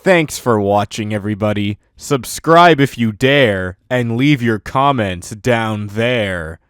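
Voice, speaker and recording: A man's voice: on the low side, expressive, slow pace, fairly bright tone, clear, resonant tone, no echo, studio quality. A man hosting a scripted countdown video, commenting in a mock-earnest way with exaggerated praise. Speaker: video host. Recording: music under the voice. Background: music.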